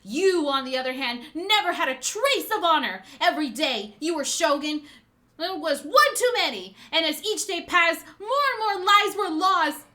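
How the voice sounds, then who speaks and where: A woman's voice: mid-range voice, lively intonation, slow, sharp tone, pitched below the performer's own voice. A woman voicing a proud, grieving samurai's daughter, declaiming a comic's lines with impassioned, vengeful emphasis. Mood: furious defiance. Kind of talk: amateur dramatic reading of a comic's dialogue.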